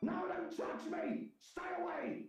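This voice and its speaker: A woman voicing a crazed, desperate drunken man in a visual novel game, with a strained, raspy voice. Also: extremely high pitch, slow, a little mellow, faint music behind, voice pushed up, heavily acted. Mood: desperate, frantic.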